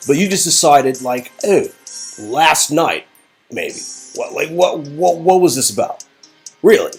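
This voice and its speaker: A man's voice: a little high, big pitch swings, unhurried, slightly rough, soft background music, pitched above the performer's own voice, full character voice. A man playing the sarcastic, exasperated hero of a story game, rambling and repeating words. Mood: betrayed, sarcastic.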